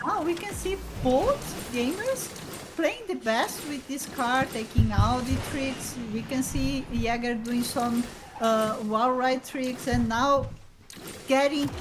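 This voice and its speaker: A woman's voice: sits high, background noise. A woman from Brazil with a Brazilian Portuguese accent, co-casting a live esports race and giving friendly, plain comments on play. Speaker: stream host and co-caster. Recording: live stream with loud game music. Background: game music.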